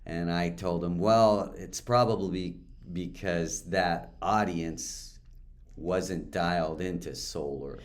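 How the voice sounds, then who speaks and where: Deep voiced guy hosting an interview, laid back, kinda musing. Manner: relaxed, musing, unhurried. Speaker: interview host. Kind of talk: casual interview chat.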